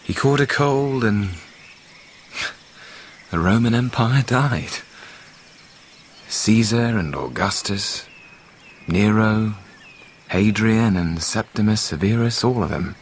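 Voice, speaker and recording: A British actor playing a weary, reflective deposed Roman emperor in a radio drama, speaking in a measured way. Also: male voice, on the low side, expressive, a little hiss or hum. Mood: solemn, elegiac.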